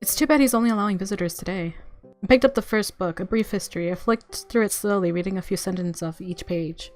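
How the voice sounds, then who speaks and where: A woman with a mid-pitched voice reads a visual novel aloud while music plays underneath. She narrates expressively, in the playful manner of a gamer reacting to the story. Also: fast talker.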